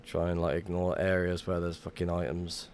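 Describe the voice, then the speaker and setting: A man's voice: low-pitched, monotone, moderate pace, a little mellow, a little hiss or hum. A young man chatting casually while livestreaming a game, rambling and swearing now and then as he greets viewers. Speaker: livestream gamer. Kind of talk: casual live-stream chat while gaming.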